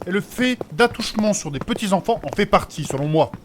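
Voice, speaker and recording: Man playing a prim, flustered, high-voiced adventurer. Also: expressive, a little fast, noisy background. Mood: earnest, prim. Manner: formal, fussy, indignant. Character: prim, pious, easily scandalised male fantasy companion. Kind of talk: French comedic fantasy audio drama acting.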